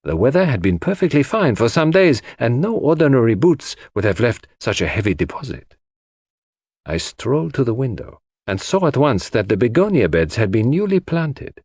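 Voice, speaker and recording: A man plays a shrewd foreign detective in an audiobook, courteous and formal. His pitch moves in lively swings as he sets out his theories precisely, in a close, dry sound. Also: moderate pace, breathy edge, no room sound. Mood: confident, explanatory. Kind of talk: audiobook character dialogue.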